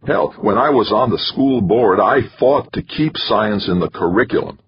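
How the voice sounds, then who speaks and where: A man's voice: on the low side, expressive, moderate pace, husky, pitched above the performer's own voice. A man playing a stubborn, brooding politician. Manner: quietly intense, muttering. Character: big, stubborn male senate candidate, quietly intense. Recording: dull lo-fi recording.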